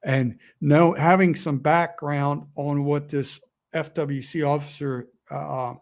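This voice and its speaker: A man talking to camera in an emotional, rambling vlog, halting often with fillers and restarts. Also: mid-range voice, darkish tone. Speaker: outdoor vlogger. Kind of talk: emotional casual monologue.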